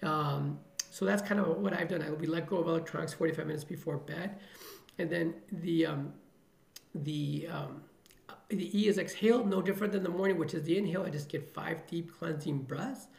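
Middle-aged male ER doctor from the Chicago area, earnest and motivational, heavy vocal fry, echoey room. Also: a little high, normal speed, darkish tone. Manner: earnest, motivational, repeats phrases for emphasis. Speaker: emergency physician guest. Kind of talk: podcast interview answers.

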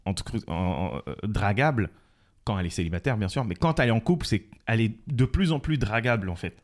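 A man talking in a casual podcast chat, halting and restarting often. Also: lowish voice, expressive. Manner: halting, many restarts and fillers. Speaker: podcast co-host.

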